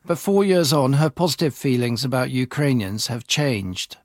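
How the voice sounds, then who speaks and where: A man news reporter reading a scripted report in a measured voice-over. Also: medium pitch, animated, no echo, clean studio sound. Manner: clear, measured voice-over delivery.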